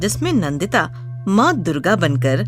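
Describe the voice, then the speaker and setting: A woman's voice: on the low side, sing-song, a little slow, over music. A woman giving dramatic scripted narration of a horror story.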